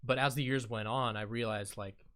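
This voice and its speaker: A man's voice: mid-range voice, fairly even intonation, normal speed, fairly bright tone. Easygoing man reminiscing. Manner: reflective, easygoing, jokey. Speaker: podcast guest. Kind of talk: casual conversation.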